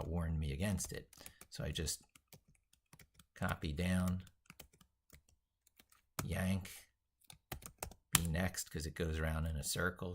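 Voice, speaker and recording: A man's voice: low-pitched, vocal fry, slight background noise. A man giving an unscripted live-stream tech tutorial, rambling as he narrates what he does and leaning hard on his points. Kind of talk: unscripted live-stream tutorial, thinking aloud while working.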